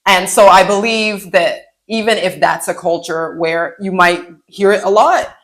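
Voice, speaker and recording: A woman co-hosting a podcast, talking casually in an expressive, wry way and voicing imagined reactions. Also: mid-range voice, measured pace. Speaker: podcast co-host. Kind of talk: casual conversational podcast chat.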